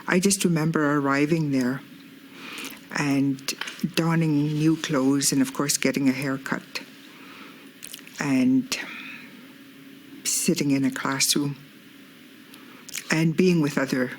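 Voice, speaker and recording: A woman in her sixties from Alberta, Canada, giving measured interview testimony. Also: deep voice, steady tone, a little hiss or hum. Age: 60s. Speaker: residential school survivor.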